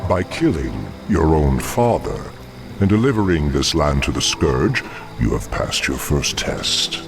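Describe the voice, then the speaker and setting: An actor playing a menacing male demon dreadlord who speaks slowly and condescendingly, recorded for a game cutscene over music. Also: a bass voice, big pitch swings, heavily acted. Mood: smug. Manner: slow, condescending, gravelly.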